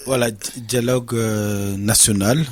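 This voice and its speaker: A man's voice: lowish voice, steady tone, unhurried, a little mellow. A man speaking conversationally as a guest in a live radio interview. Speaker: interview guest, association president. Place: radio studio.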